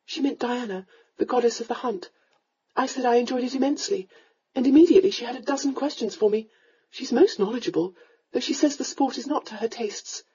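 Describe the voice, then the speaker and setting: A woman's voice: high-pitched, animated, brisk, mellow, husky, highs cut off, voice pushed up, exaggerated voice. A woman voicing a reluctant young noblewoman answering her mother in a subdued, patient way. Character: tall, reluctant young noblewoman, weary daughter.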